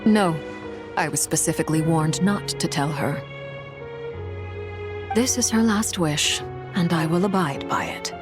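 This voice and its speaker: Low-voiced, solemn noblewoman over music. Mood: firm. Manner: measured, formal, restrained. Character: composed, solemn noblewoman agent, formal and quietly caring. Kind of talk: dramatic video game dialogue. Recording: prominent music behind the voice.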